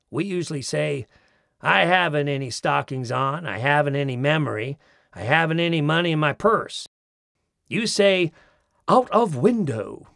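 Guy reading a funny essay, raspy voice, bouncy pitch, does little bits. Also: a bit bright, no echo. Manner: mimics accents in quoted phrases, dry wit. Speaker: audiobook narrator. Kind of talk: expressive reading of a humorous essay. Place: studio. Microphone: close studio mic.

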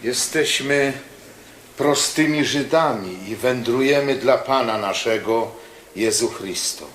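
A man's voice: medium pitch, takes it slowly, a bit bright, reverberant, slight background noise. An actor playing a humble male missionary who speaks politely and with dignity in a radio play. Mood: dignified.

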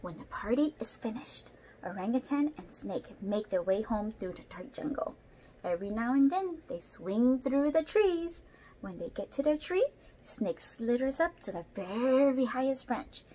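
A woman's voice: a little high, animated, a little slow, warm tone, husky. A young woman reading a picture book aloud expressively, with asides to young listeners. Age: young adult. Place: small indoor room at home. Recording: noisy home room recording.

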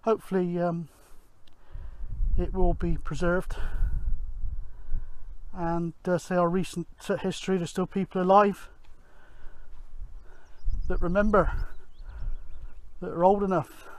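A middle-aged man gives casual outdoor vlog commentary in a rambling, halting way, thinking aloud. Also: high-pitched, level tone. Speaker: amateur radio vlogger, presenter. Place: outdoors on an open grassy hillside.

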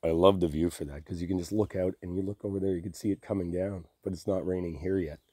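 Man acting as affectionate boyfriend, ASMR roleplay, deep, soft, drowsy, unhurried, intimate, dry close sound. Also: fairly even intonation, slightly rough, no room sound. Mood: quietly curious. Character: affectionate, easygoing boyfriend on a trailer getaway.

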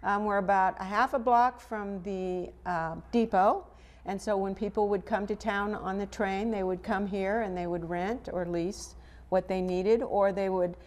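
A woman's voice: medium pitch, fairly even intonation, measured pace, darkish tone. An older American woman speaking informally as an interviewee, on a lapel mic in a large old building. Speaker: museum representative, interviewee. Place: large old brick livery stable, indoors.

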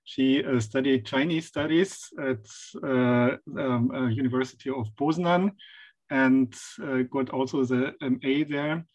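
A man introducing a guest speaker at an online seminar over a video call, halting, with many pauses. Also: mid-range voice, a little mellow, dull audio. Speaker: event host introducing a speaker.

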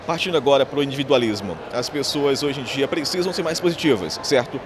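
A man's voice: medium pitch, animated, fairly quick, background noise. A Brazilian man working as a TV reporter, asking conversational interview questions. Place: indoor event venue. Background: music.

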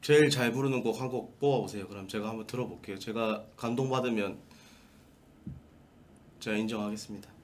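A man's voice: fairly low pitch, brisk, long pauses. A young man hosting a live stream from his desk, speaking in stiff, formal polite speech as a dry, teasing mock boss to a caller. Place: small room at a desk, indoors.

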